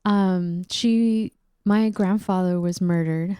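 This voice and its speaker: A woman from the United States chatting casually as a podcast co-host, relaxed, with lots of fillers. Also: mid-range voice, slow pace, smooth, no echo.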